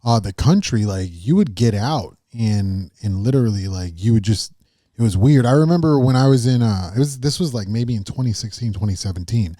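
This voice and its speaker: A man with a mellow, middle-pitched voice co-hosting a casual podcast. He wanders through his stories with plenty of "like" and restarts, in a dry, close recording. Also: lively intonation, fairly quick, no echo. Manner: rambling, many fillers and restarts. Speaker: podcast co-host. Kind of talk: casual chat.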